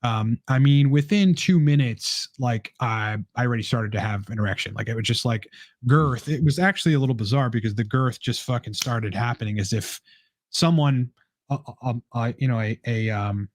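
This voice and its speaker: A man's voice: mid-range voice, moderate pace, no room sound, studio quality. A man talking casually as a podcast guest, rambling with lots of fillers, restarts and swearing. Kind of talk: casual chat.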